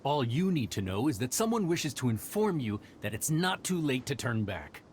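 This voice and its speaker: A man's voice: mid-range voice, sing-song, moderate pace, darkish tone, a little hiss or hum, close to an everyday voice. An actor voicing a smug, menacing male stranger in a video game dub, delivering veiled threats.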